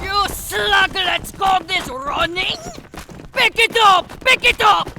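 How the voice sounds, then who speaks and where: A woman's voice: very high-pitched, takes it slowly, creaky, sharp tone, soft background music, exaggerated voice. A woman in a fandub playing a bossy gym coach who barks sarcastic, military-style orders at runners. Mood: barking, impatient. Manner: barked military commands, sarcastic scolding. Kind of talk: fandub voice acting.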